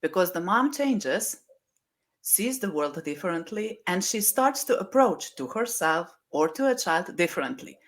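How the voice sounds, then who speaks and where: Lower-voiced woman. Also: very animated, unhurried. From Slovenia. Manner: warm storytelling, many "you know" fillers. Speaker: guest, mindset coach. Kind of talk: casual interview talk.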